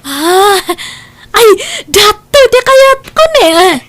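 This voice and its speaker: Casting: actress as evasive indebted wife, Ilocano, high-pitched, hesitant and slippery, for comedic radio drama. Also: female voice, big pitch swings, slow pace, heavily acted. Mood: flustered, stalling. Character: evasive married woman who spends on credit, hides her debts.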